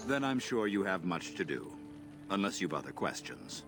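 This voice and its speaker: Grave proud elf elder from a fantasy game, really low voice, music behind him. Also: male voice, sing-song. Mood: formal, kindly. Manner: measured, solemn, formal. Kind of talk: video game dialogue, solemn lore telling. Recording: background music under the voice.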